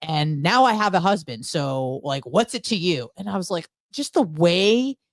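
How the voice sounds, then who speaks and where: A woman with a slightly low voice talks casually as a radio co-host. She is opinionated and blunt, gossips freely and peppers it with profanity. The sound is dry, with very little room. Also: animated, normal speed, no room sound.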